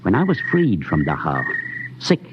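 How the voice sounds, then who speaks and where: An actor playing a haunted ship's doctor in a 1950s radio drama, speaking earnestly and building his suspicions in a low, brooding way. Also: wildly swooping pitch, normal speed. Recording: noisy, dull old 1950s radio broadcast.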